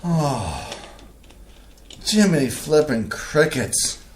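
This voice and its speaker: A man's voice: fairly high pitch, very animated, extremely slow, reverberant, a little hiss or hum, exaggerated voice. A man playing a grumbling, grumpy husband. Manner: grumbling and complaining, with folksy oaths. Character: grumpy, set-in-his-ways middle-aged husband. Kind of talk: staged play reading.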